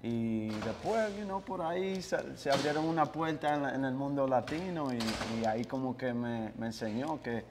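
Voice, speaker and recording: A man's voice: medium pitch, moderate pace, hardly any pauses, long reverb tail, noisy background. A young man, a guest musician born in the United States, chatting casually in an interview and dropping English words into his Spanish. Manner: mixes English words into Spanish, relaxed and laid-back. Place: small office with couches, indoors.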